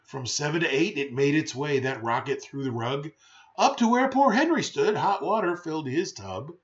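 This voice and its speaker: A man's voice: on the high side, animated, moderate pace, lo-fi sound. A man reading a children's rhyming picture book aloud in a theatrical, playful, emphatic way with brief chatty asides.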